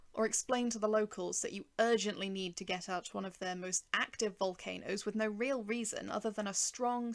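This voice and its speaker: Woman, story narrator, noticeable vocal fry, medium pitch, expressive, deliberate phrasing, dramatic pauses. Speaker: author narrating own short story.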